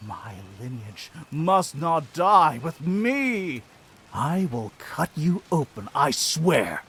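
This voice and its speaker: An actor playing a brash, tormented last heir of a noble line in a video game cutscene, with a dramatic, sardonic delivery. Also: male voice, fairly high pitch, very animated, slow pace, a bit bright, slight background noise, full character voice. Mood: desperate, furious.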